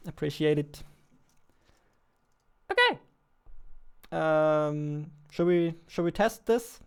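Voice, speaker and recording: Slow-paced, clear-voiced young man streaming. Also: on the high side, animated. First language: not English. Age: 20s. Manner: thinking aloud, unhurried. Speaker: indie game developer, streamer. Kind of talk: casual live coding commentary. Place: small indoor room at a computer.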